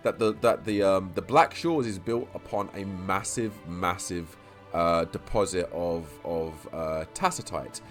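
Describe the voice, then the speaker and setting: A man talking through game-lore theories in a casual vlog. He stutters and repeats himself, restarting words and rambling on quickly. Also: low voice, faint music behind. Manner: talks quickly. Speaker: YouTube lore creator.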